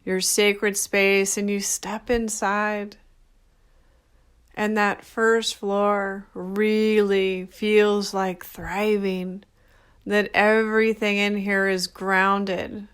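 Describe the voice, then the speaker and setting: Slow, even-toned woman guiding meditation. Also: mid-range voice, smooth. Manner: gentle, soothing, unhurried with short phrases. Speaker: meditation guide. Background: background music.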